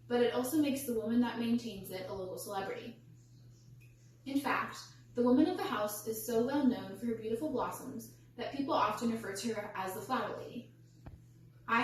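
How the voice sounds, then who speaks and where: A young woman delivering a rehearsed storytelling speech in a measured, formal, carefully enunciated way. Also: fairly high pitch, a little mellow. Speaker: student public speaker. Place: indoor echoey room, recorded from a distance. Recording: echoey room, distant mic, faint hum.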